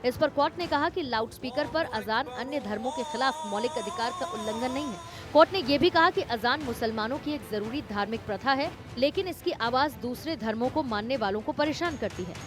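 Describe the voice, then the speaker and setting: High female news voice-over with music. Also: animated, a little fast. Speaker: news narrator. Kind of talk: scripted TV news report voice-over.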